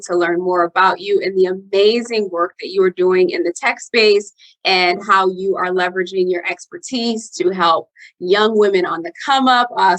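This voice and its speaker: A woman's voice: medium pitch, fairly bright tone, dry recording, rough recording. Female podcast host with close, dry sound. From Haiti. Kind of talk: podcast intro and interview hosting.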